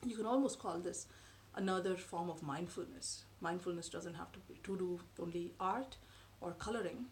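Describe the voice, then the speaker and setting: A woman in her thirties whose first language is Tamil, talking to camera from a sofa in her living room in a measured, thoughtful way with frequent pauses. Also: fairly low pitch, a little hiss or hum. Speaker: vlogger. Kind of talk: explanatory talk to camera. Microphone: camera in front at close range.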